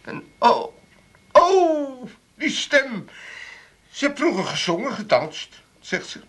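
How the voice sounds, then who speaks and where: An actor playing a gruff, sentimental old family father in a comedy radio play, with an Amsterdam accent and a halting, dramatic delivery. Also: very high voice, very animated, fairly bright tone, full character voice. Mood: dreamy, excited. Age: older. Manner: dramatic, halting, repeats words when moved.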